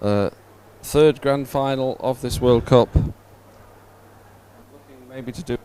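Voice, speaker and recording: A man's voice: medium pitch, takes it slowly, mellow, slight background noise, rough recording. A man hosting a live sports stream in an informal, somewhat hesitant way. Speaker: livestream host.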